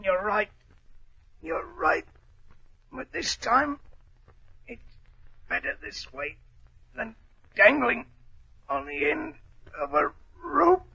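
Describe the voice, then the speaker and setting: A man's voice: extremely high pitch, very animated, slow pace, vocal fry, long pauses, bright, forceful tone, husky, exaggerated voice. An actor playing a desperate, vengeful gunman in 1940s radio style, menacing, bitter and agitated, on a muffled old recording. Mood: grim, dying.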